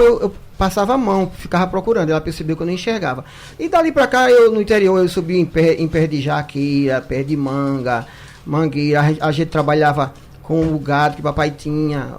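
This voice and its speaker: A man, a guest on a radio debate, telling stories in a jokey, chatty way and often repeating words as he starts. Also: high voice. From Paraíba, Brazil. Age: 50s. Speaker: guest, singer. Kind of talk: radio studio debate, casual storytelling.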